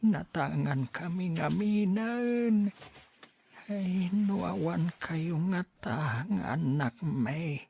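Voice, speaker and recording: Woman acting as a creaky, very slow elderly father. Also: on the low side, animated, warm tone, exaggerated voice. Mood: gentle, weary. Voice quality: raspy, creaky. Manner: halting, slow. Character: frail, kindly elderly father in a wheelchair. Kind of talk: radio drama acting.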